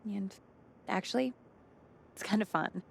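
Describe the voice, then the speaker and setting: A woman's voice: medium pitch, a little slow, gravelly, a little hiss or hum. A woman playing a smart, down-to-earth young video game character in a casual, slightly wry, natural way. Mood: helpful.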